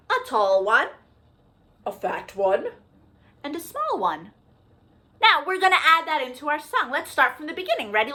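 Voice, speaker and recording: A woman leading a children's storytime, reading aloud in an animated, sing-song way and checking in with young listeners through questions. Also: sits high. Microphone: video call.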